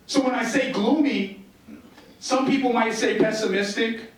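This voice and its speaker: A man's voice: very high-pitched, measured pace. An American man from the Northern Plains giving an informal storytelling talk on stage, conversational and wry, repeating phrases for rhetorical effect. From United States, Northern Plains. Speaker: public speaker, storyteller. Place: indoor stage talk in a roomy venue. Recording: roomy echo, distant microphone.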